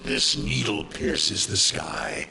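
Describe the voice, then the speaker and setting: A man voicing an ancient, menacing sentient war machine in a video game, speaking in slow, grandiose declarations. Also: on the low side, lively intonation, vocal fry, noisy background, full character voice. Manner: slow, ominous, archaic declarations.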